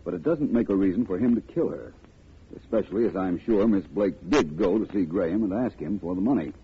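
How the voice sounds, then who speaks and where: An actor playing a cool, shrewd, commanding gentleman detective in a 1940s radio drama, speaking in a measured, articulate way. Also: low voice, animated, husky, full character voice. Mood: assured, reasoning. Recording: muffled, noisy old radio recording.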